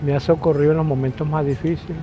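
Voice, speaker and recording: A man's voice: fairly high pitch, little pitch movement, quick pace, music in the background. An older man with a Venezuelan accent from Lara, a local cook casually telling stories in an interview. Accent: Venezuelan, Lara state.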